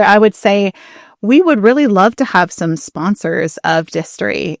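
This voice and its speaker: A woman co-hosting a podcast in casual, animated chat, often giggling as she talks. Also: on the low side, no echo, studio quality. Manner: giggly, chuckles often while talking. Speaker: podcast co-host.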